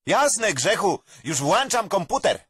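A man's voice: sits high, sing-song, a little slow, noisy background. A young man playing a chubby, naive, simple boy in a cartoon sketch. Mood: eager. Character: Pucuł, chubby slow boy who speaks incorrectly.

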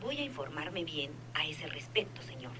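An actress in a radio drama playing a dutiful head nurse informant, precise, businesslike and deferential, on a noisy old recording. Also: female voice, low voice, very animated, normal speed. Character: dutiful female head nurse secretly informing a crime boss. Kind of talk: radionovela acting.

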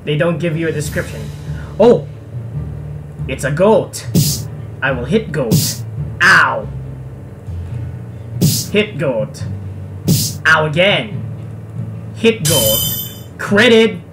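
Casual let's play commentator: unhurried delivery, sarcastic and sweary, over clearly audible game music. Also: medium pitch, very animated. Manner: sarcastic, foul-mouthed grumbling. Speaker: let's player.

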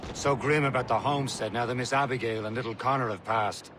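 A sober first mate voiced by a man talks gravely and plainly in a video game. He sounds loyal and steady at a middling pitch, with clear ambient noise behind him. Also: fast talker, lightly acted. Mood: grave, patient. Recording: noisy game audio, ship ambience behind.

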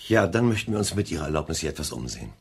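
A man's voice: extremely low pitch, big pitch swings, racing, creaky, breathy edge, heavily acted. An actor playing a probing, insistent male chief police inspector in a German radio crime drama. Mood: formal, polite.

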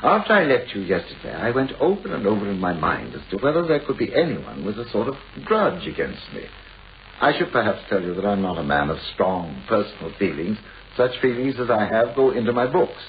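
Radio play novelist: British, fussy, anxious, formal and hesitant, with very lively intonation, on a dull, hissy old recording. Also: male voice, on the low side, a little fast. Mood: defensive, uneasy. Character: anxious, mannered male novelist, fussy and self-absorbed.

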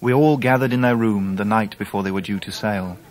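Man acting a grave, low English narrator. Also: a little fast, close to an everyday voice. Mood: sober. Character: grave, reflective grown English gentleman recalling his life. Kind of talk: dramatised first-person narration.

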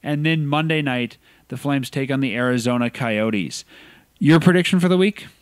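A man's voice: medium pitch, moderate pace, smooth, dry recording. A man hosting a casual sports podcast, chatting in an upbeat way about hockey. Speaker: podcast host.

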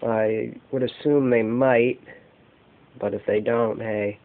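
A man with a mid-pitched voice talks slowly through an informal product show-and-tell. His delivery comes in short, halting phrases. The sound is muffled and narrow, like a cheap camera mic in a room at home. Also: fairly even intonation. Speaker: amateur fishing vlogger showing lures. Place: indoors, carpeted room at home. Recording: dull, muffled lo-fi home video.

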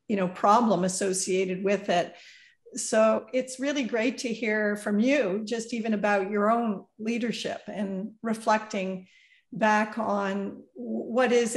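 A middle-aged woman co-hosting an interview over a video call, giving thoughtful, measured comments. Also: medium pitch. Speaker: co-host. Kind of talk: conversational interview. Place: home office. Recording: roomy video call audio.

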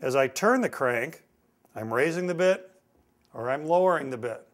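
A man's voice: a little high, expressive, moderate pace. A middle-aged man with an American accent giving a step-by-step instructional demonstration, stressing key points with repetition. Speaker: woodworking instructor. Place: indoor woodworking shop. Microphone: lapel mic.

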